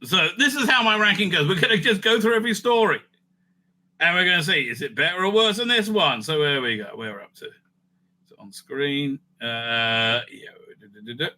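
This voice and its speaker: A man's voice: a little high, expressive, normal speed, pushed and bright, low-quality recording. A man giving a casual, rambling fan review as host, blunt, opinionated and sweary, often repeating phrases for emphasis.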